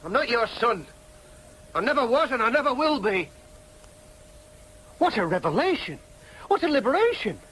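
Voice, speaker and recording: An actor with a Yorkshire accent playing an agitated, obsessive adopted son in a radio drama, insistent and declamatory. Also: male voice, extremely high pitch, very animated, normal speed, long pauses, fairly bright tone, husky, full character voice, low-quality recording. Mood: exalted, brooding. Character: agitated, obsessive adopted son hungry for his true identity. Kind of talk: broadcast radio drama acting.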